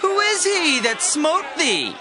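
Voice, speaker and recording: Bloodthirsty mob member, very high drawn-out shouts, roaring crowd and loud music, biblical drama. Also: male voice, huge pitch swings, full character voice. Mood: mocking. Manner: slow taunting shouts. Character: jeering, bloodthirsty men of an angry mob. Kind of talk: dramatized Bible acting.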